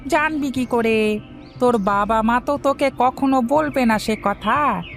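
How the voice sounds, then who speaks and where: A meddling village lad voiced by a woman with a high voice. He chats in a sly, insinuating way, like a gossipy friend in a cartoon fairy tale, over background music. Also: unhurried, vocal fry. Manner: insinuating, chatty.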